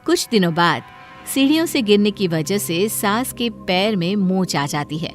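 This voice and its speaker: A woman's voice: mid-range voice, expressive, unhurried, soft background music, close to an everyday voice. A woman narrator reading a scripted moral story for a cartoon.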